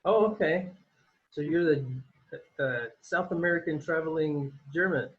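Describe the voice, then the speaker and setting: A man interviewing a guest on a video call, asking short questions and dropping quick casual remarks. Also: a little high, highs cut off.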